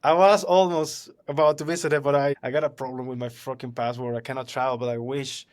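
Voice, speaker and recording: A man in his thirties from Venezuela with a Venezuelan Spanish accent, chatting casually on a podcast, animated and laughing often. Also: medium pitch, quick pace. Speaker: podcast host.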